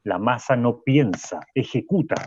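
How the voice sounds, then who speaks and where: A man's voice: medium pitch, lively intonation, a little slow, a little mellow, breathy edge, no room sound, muffled recording. A man playing a pompous, condescending sociology professor who lectures self-importantly in a radio drama. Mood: lofty.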